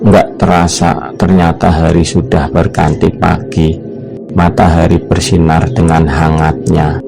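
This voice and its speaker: A man narrating a horror-mystery cartoon in a steady, scripted way, with music behind. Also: low voice, unhurried, gravelly. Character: calm storybook narrator, adult man. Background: background music.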